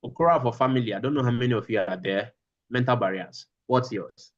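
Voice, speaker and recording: A man's voice: mid-range voice, quick pace, lo-fi sound. Man teaching an online class. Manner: repeats phrases for emphasis.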